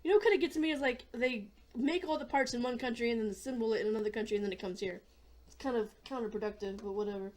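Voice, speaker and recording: A hoarse woman hosting a casual gaming video, chatting in a rambling way and often clearing her throat. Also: a little high, fast, a little mellow. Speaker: gaming channel host.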